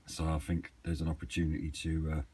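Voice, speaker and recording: A man's voice: extremely low pitch, completely flat delivery, fairly quick, warm tone. A man chatting casually and off the cuff, hesitant as he thinks aloud in a hobby vlog. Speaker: hobbyist vlogger. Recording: clearly noisy amateur camera recording.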